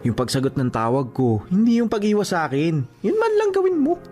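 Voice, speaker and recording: A man's voice: high-pitched, huge pitch swings, brisk, darkish tone, soft background music. A man acting a young lovelorn suitor in a Filipino radio drama, emotional and exclamatory, by turns teasing and pleading. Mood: frustrated pleading.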